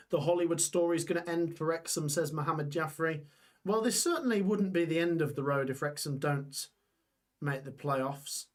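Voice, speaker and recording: A young man hosting a live football watch-along stream, reading out scores and chatting with viewers while his voice gets hoarse. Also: on the high side. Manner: chatty, reads scores aloud, reacts to chat. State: voice going hoarse.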